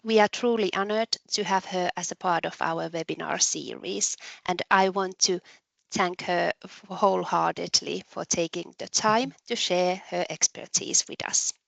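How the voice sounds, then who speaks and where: A woman hosting a webinar, introducing a guest speaker in a measured, carefully read way with occasional ums. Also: on the low side, steady tone, gravelly, no echo, rough recording. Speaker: webinar host, moderator. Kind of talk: formal introduction.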